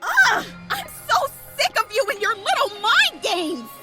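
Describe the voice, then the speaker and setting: A woman playing a hot-tempered, brash young woman in an audio drama, forceful and accusing. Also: extremely high pitch, big pitch swings, unhurried, loud music behind the voice, exaggerated voice. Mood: furious. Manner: brash, forceful, shouting in exasperation.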